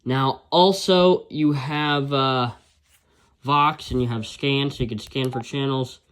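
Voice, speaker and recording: A man's voice: medium pitch, fairly even intonation, slow pace, a bit bright, clear, resonant tone. A man casually reviewing a handheld radio, rambling with lots of fillers and restarts.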